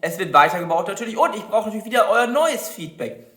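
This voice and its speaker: A man's voice: sits high, expressive, fast talker, pushed and bright, husky. A man with a slight cold, chatting casually while he explains his building steps in a hobby vlog. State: has a cold. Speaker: LEGO builder and channel host.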